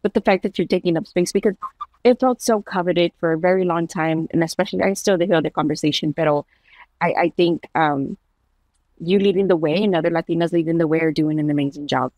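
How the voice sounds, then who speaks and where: A woman's voice: on the low side, brisk, darkish tone, no room sound, low-quality recording. A woman hosting a conversational interview podcast, speaking in an affirming, encouraging way. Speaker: podcast host.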